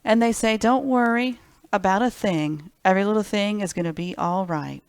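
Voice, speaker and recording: A woman's voice: mid-range voice, normal speed, clean tone, no echo, clean studio sound. A woman hosting a children's library storytime, reading picture books expressively and speaking simply and directly to young listeners.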